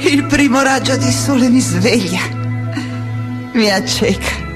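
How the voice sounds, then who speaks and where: An actress playing an anguished, defiant, aging woman scholar in a radio play, speaking in halting, impassioned repetitions. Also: a little high. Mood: weary, wistful. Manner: halting, repeating words, impassioned. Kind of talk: dramatic radio theatre monologue. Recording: music under the voice. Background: music.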